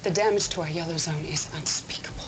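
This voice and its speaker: A woman's voice: sits low, fast talker, noisy background, natural voice. An actress playing a stern female military commander who speaks in a firm, commanding way. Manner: firm, commanding speech-giving. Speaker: commander and new leader.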